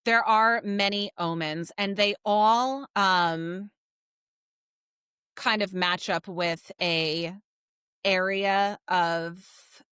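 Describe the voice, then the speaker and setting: A woman's voice: mid-range voice, steady tone, drawn-out words, bright, forceful tone, clean tone, dry recording, clean studio sound. A woman co-hosting a podcast, explaining things in a casual, conversational book discussion. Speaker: podcast co-host.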